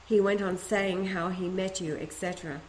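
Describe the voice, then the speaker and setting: A woman reads a first-person letter aloud, her voice sitting a little low. She keeps a steady, earnest pace with the odd restart. The recording rings with room echo over a faint ambient hum. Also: normal speed. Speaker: reader of a letter.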